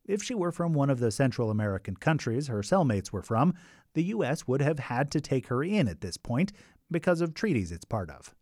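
A man's voice: mid-range voice, very animated, fairly quick, a little mellow, no room sound, studio quality. A man narrating a radio documentary in a scripted but conversational way, with wry asides.

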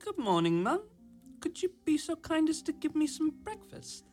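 A woman acts out an eager little boy in a radio drama. The delivery is lively and swooping, earnest and a touch theatrical, full of pluck. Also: high-pitched, normal speed, faint music behind. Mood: pleading. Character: small boy, eager, plucky, hungry adventurer. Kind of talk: radio play acting.

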